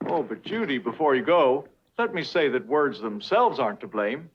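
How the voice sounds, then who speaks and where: An older man playing a patient, kindly professor, lecturing deliberately and reassuringly in a 1950s educational film. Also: mid-range voice, sing-song, slight background noise, natural voice. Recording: dull vintage film soundtrack, music behind.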